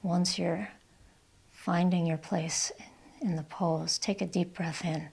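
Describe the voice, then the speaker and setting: A woman leading a guided yoga and relaxation session as the instructor, speaking in a gentle, calm, soothing way with breathy cues. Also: on the low side, slow. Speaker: yoga instructor and channel host.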